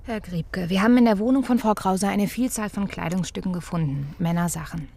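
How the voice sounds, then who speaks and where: A woman playing a conscientious senior detective in a radio crime drama, matter-of-fact and measured, with a raspy voice. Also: medium pitch, dark tone. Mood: probing, measured. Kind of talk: naturalistic German radio drama acting.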